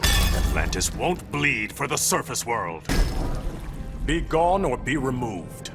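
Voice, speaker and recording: A man's voice: medium pitch, very animated, slow pace, gravelly, full character voice. A man playing a proud, stern undersea king in a video game, speaking in a formal, haughty, commanding way. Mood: cold, resolute. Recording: loud music behind.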